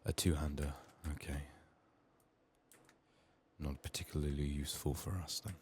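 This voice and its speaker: A man softly narrating his video game in an ASMR style, musing to himself in a hushed, slightly strained, under-the-weather voice. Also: very deep voice, steady tone, normal speed, a little hiss or hum. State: sick, strained voice. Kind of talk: soft-spoken, partly whispered ASMR game commentary.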